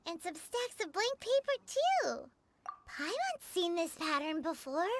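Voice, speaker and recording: A woman voicing a tiny, excitable, curious fairy companion girl with a squeaky childlike voice in a video game. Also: unhurried, noisy background, full character voice. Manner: speaks of herself in third person, exclamatory. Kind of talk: video game cutscene voice acting. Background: music.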